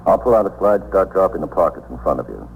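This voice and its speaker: Low, dry-voiced radio police detective. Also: male voice, sing-song, fast, gravelly, narrow, tinny audio, full character voice. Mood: dry, instructive. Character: unhurried, wry police identification detective. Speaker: actor in a radio police drama. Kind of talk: 1950s radio acting. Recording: noisy, phone-thin 1950s radio recording.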